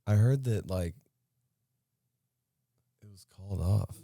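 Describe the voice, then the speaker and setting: Deep, mellow-voiced man ranting casually. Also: slow, gravelly, dry recording, clean studio sound. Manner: blunt, profane, animated ranting. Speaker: podcast co-host. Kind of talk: casual chat.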